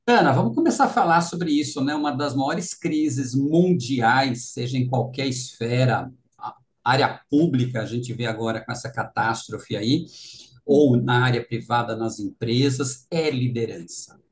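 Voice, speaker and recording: A man with a Brazilian Portuguese accent hosting a casual interview, expressive and teasingly insistent. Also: mid-range voice, a little slow. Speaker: interview host.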